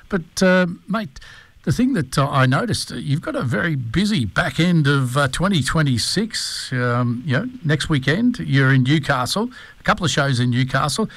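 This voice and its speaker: A man's voice: fairly high pitch, a bit bright, dry recording. A man with an Australian accent hosting a morning radio show, chatting matily with a guest and filling gaps with lots of ums and uhs. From Hunter Valley, New South Wales, Australia. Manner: matey, chatty, many fillers. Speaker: radio host. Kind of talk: casual radio interview.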